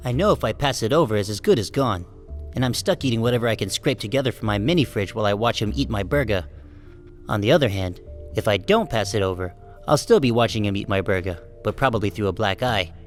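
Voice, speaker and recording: A man's voice: on the low side, natural voice. A man playing a wary, resentful sheep who narrates his own story in a wry, guarded audiobook style. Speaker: first-person narrator and protagonist. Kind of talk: scripted first-person audiobook narration.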